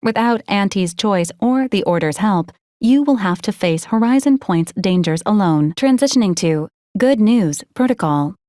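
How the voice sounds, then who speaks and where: A woman's voice: medium pitch, darkish tone, no room sound, lightly acted. Woman acting as formal, coolly logical ship AI, dry sound. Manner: measured, clipped, procedural, impersonal. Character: female ship's AI unit, formal, coolly logical, dutiful. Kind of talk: scripted video game voice acting.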